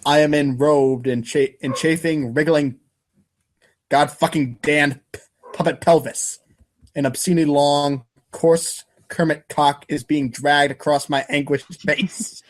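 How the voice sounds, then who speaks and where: Guy doing a wry teen boy for a comedy reading, exasperated deadpan rants, swears a lot. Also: a little high, a little slow, close to an everyday voice. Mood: anguished ranting. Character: exasperated, sarcastic, foul-mouthed teenage boy, wry and wordy. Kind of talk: comic script reading.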